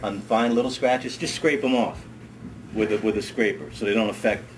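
A middle-aged man with a fairly low voice walks a small group through a hands-on demonstration. He talks in a relaxed, chatty way and often repeats words as he works. The camera mic picks up his voice in a wood-paneled workshop, with a steady hiss of noise underneath. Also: normal speed, rough recording. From United States. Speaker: ski school director. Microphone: camera mic on a workbench.

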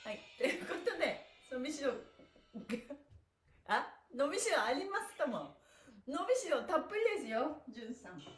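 A middle-aged woman cheerfully leading a livestream stretching class, encouraging and chatting with viewers, often with laughter in her voice. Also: sits high. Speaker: stretching instructor and livestream host. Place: small indoor room with wooden floor. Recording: echoey bare room.

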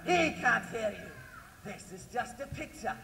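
A man plays a gloating pantomime villain who commands with theatrical cruelty. His voice sits very high for a male. It rings through a reverberant hall with music clearly heard. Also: lively intonation, normal speed, exaggerated voice. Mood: mocking, gloating. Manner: theatrical, declamatory. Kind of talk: broad stage pantomime acting. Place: stage of a large theatre hall.

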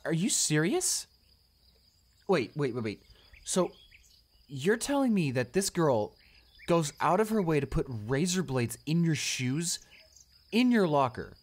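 A man playing a shy, kind-hearted male student in a romantic audio roleplay, hesitant, stammering and apologetic. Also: on the high side. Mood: shocked, indignant.

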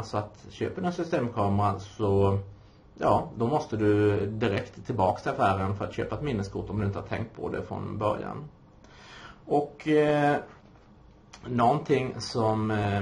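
A man in his forties giving an informal, explanatory presentation as a course instructor, halting often and posing his own questions, recorded in a small room by a camera a short distance away. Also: fairly low pitch, gravelly, darkish tone. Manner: halting, explanatory, with frequent pauses and self-posed questions. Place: small indoor office room.

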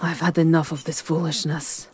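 A woman voicing a seductive, possessive, aristocratic vampire countess in a theatrical, deliberate ASMR roleplay, with breathy whispers. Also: low-pitched, little pitch movement, noisy background, natural voice. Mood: mocking, wounded.

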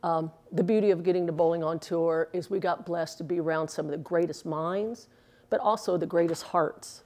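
A woman in her sixties talks in an interview, chatty and earnest, drifting off into fillers. Her voice sits low and has a gravelly edge. She is recorded close on a lapel mic in an indoor bowling alley. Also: fairly even intonation, normal speed, a little mellow. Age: 60s. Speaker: interviewee, former pro bowler. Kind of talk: casual interview answers.

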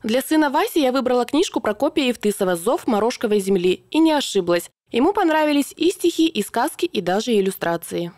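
A woman's voice: a little high, animated, fairly quick, hardly any pauses, a little mellow, dry recording, clean studio sound. A woman reading a written first-person recollection aloud as a voice-over. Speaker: voice-over reader.

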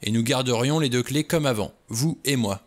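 A man's voice: medium pitch, normal speed, lightly acted. A man reading an audiobook, voicing a stubborn, suspicious middle-aged man who talks bluntly. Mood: gruff.

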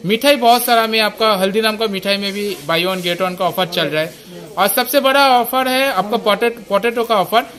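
A man in his thirties, a store manager, pitching the day's offers in an on-camera interview inside a large shop, eager and rambling, into a handheld mic. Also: moderate pace, fairly bright tone, faint music behind. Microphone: handheld reporter mic.

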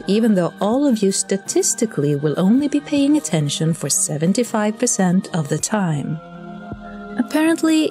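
A woman's voice: mid-range voice, dark tone, close to an everyday voice. A woman playing a chatty, bureaucratic angel messenger who narrates with dry, polite condescension. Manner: politely condescending, dryly wry. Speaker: narrator and in-story host. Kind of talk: scripted narration, addressing listeners.

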